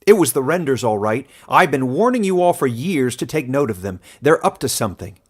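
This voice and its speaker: A man's voice: mid-range voice, sing-song, brisk, husky. A man voicing a grizzled, suspicious old village man in a fantasy story, speaking in an emphatic, warning way. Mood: alarmed, insistent.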